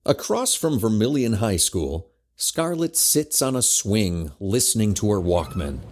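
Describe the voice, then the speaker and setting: A man's voice: on the low side, very animated, measured pace, a little mellow. A man reading scripted narration for an audio drama as its narrator. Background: music.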